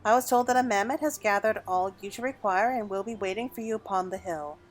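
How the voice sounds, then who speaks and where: A woman reads the part of a kindly matron in a video game. She speaks in formal, measured, old-fashioned phrases at a medium pitch, with music playing behind. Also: natural voice. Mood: composed, instructive. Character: kindly matronly woman sharing family recipes. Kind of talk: video game dialogue read aloud. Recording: background music under the voice.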